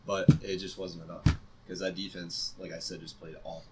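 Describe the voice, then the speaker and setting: A young man co-hosting a sports podcast, relaxed and agreeable, often moving the talk on to the next topic. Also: deep voice, fairly quick. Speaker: podcast co-host. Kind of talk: casual sports chat. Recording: faint background hiss.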